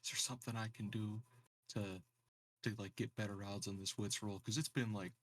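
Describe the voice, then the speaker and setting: A man's voice: on the low side, steady tone, a little fast. A man chatting casually as a player in a tabletop roleplaying game.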